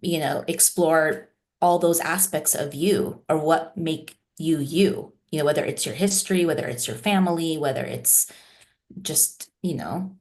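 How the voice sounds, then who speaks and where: A woman therapist speaks reflectively in an interview. Her voice sits somewhat low, and she works her way through ideas aloud. She keeps checking in with "you know" and "right?" as she goes. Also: a little slow, darkish tone. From United States. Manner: thoughtful, many "you know" and "right?" fillers. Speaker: therapist guest.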